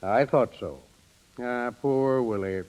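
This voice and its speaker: A man's voice: mid-range voice, slow pace, darkish tone, close to an everyday voice. An actor playing a dryly sardonic, unflappable father of grown daughters, in 1930s radio style. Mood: droll, teasing. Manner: droll, ironic. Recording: muffled 1930s radio recording.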